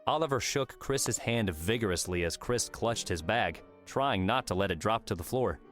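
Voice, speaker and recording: A man's voice: fairly low pitch, lively intonation, moderate pace. A man reading scripted audiobook narration as the narrator of a novel. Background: soft music.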